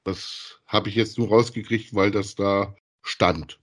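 Low-voiced man in casual podcast chat. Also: steady tone, slow, fairly bright tone. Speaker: podcast co-host. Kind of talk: casual review chat about audio dramas.

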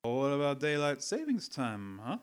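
A man's voice: mid-range voice, huge pitch swings, normal speed, clear, resonant tone. A man playing a stubborn, tipsy American drinking pal in a radio play, slangy and challenging, with an American accent. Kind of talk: old-time radio drama acting.

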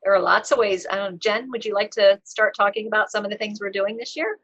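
A woman representing a health services group, explaining the program clearly in an interview. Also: medium pitch, brisk, a bit bright. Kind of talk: casual interview. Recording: slightly dull lo-fi sound.

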